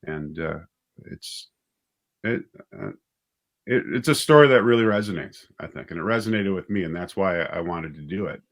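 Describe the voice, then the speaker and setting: A man with a low-pitched voice speaks as a writer and director in a casual interview. He feels his way through his thoughts, pausing and picking up again as he explains his work. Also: expressive.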